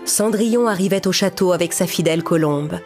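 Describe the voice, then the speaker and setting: A woman's voice: lowish voice, a little fast, music in the background. A woman narrator reading a scripted children's fairy tale.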